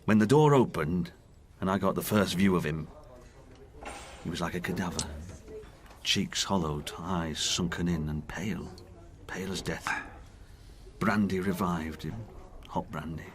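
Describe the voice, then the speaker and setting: An actor in a radio drama playing a candid working man who haltingly recalls a friend's decline. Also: sits low. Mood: haunted, grave. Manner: halting, plain-spoken, reflective.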